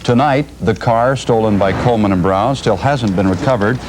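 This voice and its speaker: A man's voice: fairly low pitch, normal speed. An American man anchoring an evening television newscast in a scripted news delivery, on a noisy eighties broadcast tape. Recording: noisy 1980s TV broadcast videotape.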